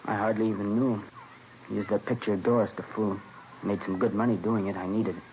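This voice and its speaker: An actor playing a resigned, brooding murderer in a 1950s radio drama, musing quietly and fatalistically. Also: male voice, lowish voice, little pitch movement, fast, natural voice. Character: resigned, brooding male murderer giving himself up. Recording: dull, noisy old radio recording.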